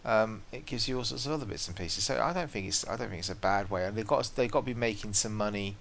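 A man's voice: on the low side, fast talker, vocal fry, a bit bright. A man co-hosting a casual gaming podcast, talking in an easygoing, bantering way. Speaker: podcast co-host. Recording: dull lo-fi audio with faint hiss.

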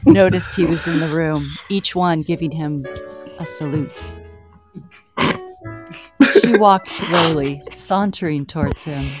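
A woman's voice: low voice, slow, long pauses. A woman co-hosting a comedy show in casual chat, playful and teasing. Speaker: show co-host. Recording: music behind the voice.

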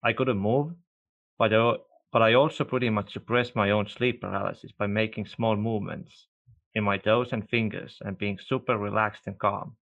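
A man whose first language is Estonian, hosting a podcast in casual interview chat, relaxed and laughing easily. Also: lowish voice, normal speed, vocal fry. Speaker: podcast host. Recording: dull lo-fi audio.

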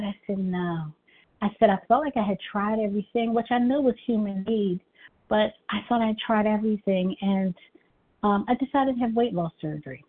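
A middle-aged woman with a high, girlish voice, telling her personal recovery story at a meeting in an earnest, halting way with many restarts. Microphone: phone call. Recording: tinny phone line.

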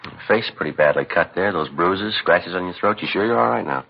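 An actor playing a stoic, businesslike police detective in a 1950s radio drama, clipped and deadpan. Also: male voice, low voice, fairly quick, vocal fry, heavily acted. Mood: calm, probing. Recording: dull, noisy old radio recording.

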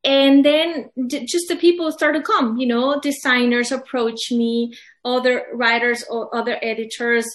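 Echoey, high-voiced woman. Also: steady tone, measured pace. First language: Spanish. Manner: hesitant, many restarts and fillers, earnest. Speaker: guest, publisher and author. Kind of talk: casual interview answers. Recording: echoey room.

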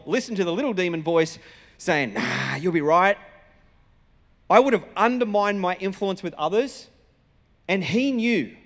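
A man with an Australian accent preaching as a pastor, telling stories in a conversational, colloquial way and acting out dialogue. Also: sits high. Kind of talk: sermon with personal storytelling. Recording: reverberant hall sound.

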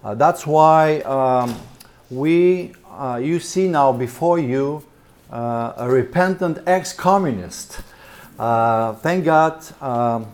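Hesitant Bulgarian man with a mellow voice. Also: medium pitch. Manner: hesitant, many fillers and repeated words. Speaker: missionary and church planter speaking at a seminar. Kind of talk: seminar presentation. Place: seminar room with an audience.

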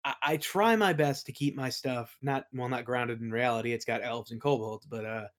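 A man's voice: mid-range voice, normal speed. A man chatting casually as an interview guest, telling colloquial, rambling stories as a game master. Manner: rambling, colloquial, acts out quoted remarks. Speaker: guest, game master and audio play creator. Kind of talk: casual chat, anecdotes.

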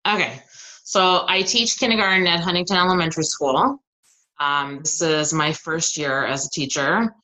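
A woman's voice: sits low, lively intonation, moderate pace, bright, forceful tone, poor audio. A woman from Southern California and Arizona, a kindergarten teacher, talking in a friendly, chatty way in a casual podcast interview and laughing easily.

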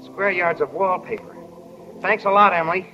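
A man's voice: high voice, steady tone, normal speed, background noise, lightly acted. A man playing an eager, sheepish sixteen-year-old boy in a 1940s radio drama. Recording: dull, reverberant 1940 radio recording.

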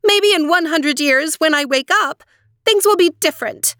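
A woman voicing an earnest, headstrong sixteen-year-old princess in a storybook, with an emphatic, expressive delivery. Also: high voice, moderate pace, no room sound, studio quality, pitched above the performer's own voice. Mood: firm, determined. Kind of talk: storybook character voice.

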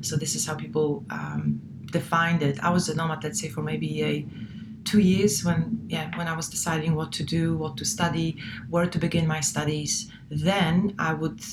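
Low-voiced woman, thoughtful guest. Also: moderate pace, background noise. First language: not English. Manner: thoughtful, many fillers and restarts. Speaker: guest, relocation coach. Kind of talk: casual interview chat.

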